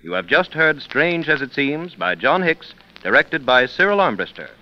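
A man's voice: fairly high pitch, sing-song, moderate pace. A man announcing in emphatic, polished 1930s radio sales style. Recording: muffled 1930s radio recording.

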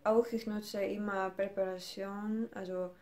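Slow-talking young woman from Ukraine teaching guitar. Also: medium pitch, flat delivery. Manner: slow, careful non-native speech with hesitations. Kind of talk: guitar technique lesson. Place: small room indoors. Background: classical guitar playing.

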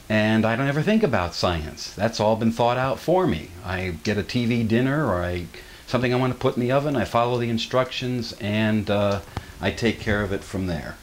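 A man's voice: on the low side, a little hiss or hum. A middle-aged man hosting a casual kitchen demonstration, explaining each step in a folksy way with fillers, filmed in a home kitchen on a camera microphone. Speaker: channel host.

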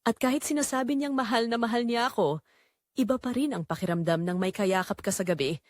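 A woman's voice: fairly high pitch, brisk, a little mellow, no echo, clean studio sound, natural voice. A woman with a Filipino accent playing a lonely newlywed wife, confiding earnestly in first-person audio drama narration. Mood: wistful, lonely. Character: lonely young newlywed wife confessing her secret.

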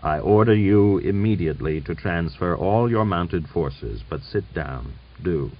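A male narrator plays an irascible old general in an audiobook novel. He is hoarse and imperious, blustering out commands. The sound is dull and lo-fi. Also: sits low, lively intonation, measured pace. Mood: gruff, commanding. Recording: dull lo-fi recording.

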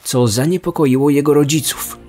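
A man's voice: a little high, normal speed, dark tone. A man reading a scripted true-crime narration. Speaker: podcast narrator. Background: background music.

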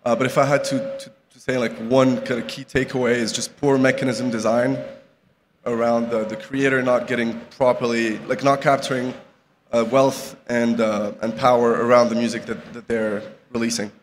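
A man's voice: mid-range voice, flat delivery, normal speed. A young man from Morocco giving a casual conference talk at a podium, full of fillers and restarts. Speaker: conference speaker. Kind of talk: live conference talk with slides. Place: large conference hall with audience. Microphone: podium microphone. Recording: reverberant hall, faint background noise.

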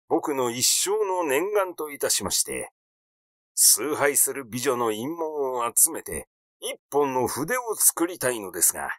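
A man's voice: medium pitch, no room sound, studio quality. Man acting a cheeky, glib, needling fellow. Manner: colloquial, needling, brash. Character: glib, cheeky male acquaintance, teasing and eccentric. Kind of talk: audiobook character reading.

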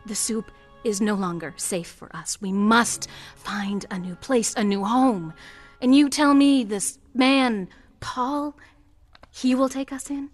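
A woman's voice: on the high side, slow. A commanding, weary underground survivor queen. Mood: solemn, wary. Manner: solemn, commanding, speaks in short declarations. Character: proud, weary queen of hungry sewer-dwelling survivors. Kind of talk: audio drama acting. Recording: music under the voice.

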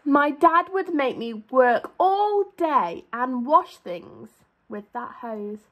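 A young woman reads a children's story aloud in a high voice. Her pitch moves in big, lively swoops. She speaks like a primary teacher, stressing and lengthening key words so that young listeners can follow. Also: slight background noise. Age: young adult. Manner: expressive, drawn-out emphasis on key words.

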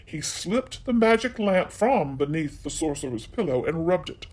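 Animated man reading a children's story. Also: on the high side, moderate pace.

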